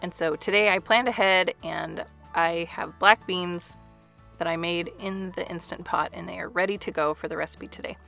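A woman's voice: fairly low pitch, fairly even intonation, normal speed, gravelly, sharp tone, soft background music. A woman in her thirties chatting casually through a home cooking vlog voice-over, with light laughs. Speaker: family vlogger.

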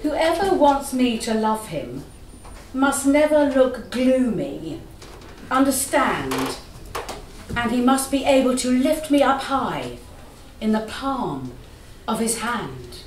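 A woman's voice: fairly high pitch, very animated, slow. A woman actor giving a theatrical reading of poetry and drama in a small room. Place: small room with live audience. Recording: roomy, distant, faintly noisy.

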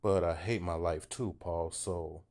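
A man voicing a depressed, washed-up golfer in his fifties who sounds weary and sulky, mumbling low. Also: steady tone, unhurried, darkish tone. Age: 50s.

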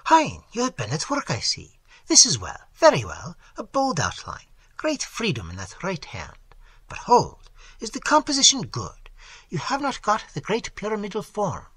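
A reader voicing a vain, self-important French artist man with a French accent, lecturing in a husky voice. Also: medium pitch, huge pitch swings, normal speed, a bit bright, no room sound, pitched above the performer's own voice, exaggerated voice. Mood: critiquing.

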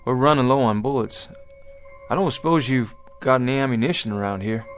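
An American actor playing a weary, courteous 19th-century pioneer in a radio drama, with halting, folksy speech. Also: male voice, medium pitch, lightly acted. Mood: weary, polite. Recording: studio radio drama with music.

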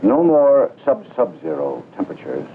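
Animated older professor, old film. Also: male voice, normal speed, phone-quality sound. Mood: brisk, probing. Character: older male physics professor, probing and self-assured. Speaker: actor. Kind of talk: 1960s film acting. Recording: thin old film soundtrack, rumbling noise.

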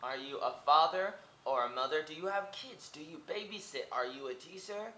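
A man's voice: on the high side, lively intonation, moderate pace, pushed and bright, roomy sound, a little hiss or hum. A young man from Oregon rambling to his webcam in a small room, firing off rhetorical questions and repeating himself. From Oregon, United States. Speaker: vlogger. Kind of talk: casual monologue to camera. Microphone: webcam at close range.

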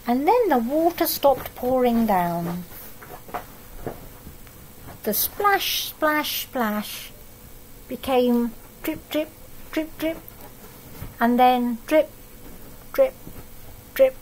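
A middle-aged woman reads a children's picture book aloud in a fairly high voice. She takes it very slowly and expressively, pausing to comment on the pictures. There is clear noise on the home webcam recording. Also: expressive, low-quality recording. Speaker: storybook reader for young children. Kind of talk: reading a picture book aloud, with asides to young listeners. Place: living room at home, indoors.

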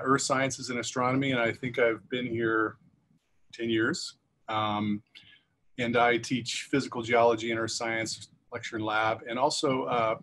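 A man's voice: mid-range voice, a bit bright, a little hiss or hum. A man in his fifties, an earth science professor, introducing himself thoughtfully and trailing off on a video call. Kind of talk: panel self-introduction. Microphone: webcam on video call.

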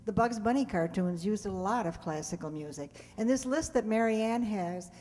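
A woman's voice: mid-range voice, lively intonation, normal speed, mellow. A woman in her seventies giving an informal prepared talk to an audience from a stage. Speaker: speaker between radio plays. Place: on stage in a community hall. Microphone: stand microphone. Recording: reverberant community hall.

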